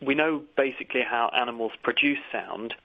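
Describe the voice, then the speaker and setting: Science expert on a tinny phone line. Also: male voice, mid-range voice, fairly quick, gravelly. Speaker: science expert answering listeners' questions. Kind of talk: explanatory radio science talk. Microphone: phone line into a radio show.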